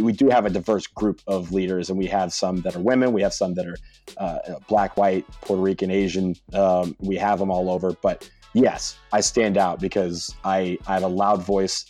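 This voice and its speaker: American guy chatting on a podcast, lowish voice, dry close mic, laughs a lot. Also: no echo. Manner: animated storytelling, laughs often. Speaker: podcast guest, soccer supporter leader. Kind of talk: casual conversation.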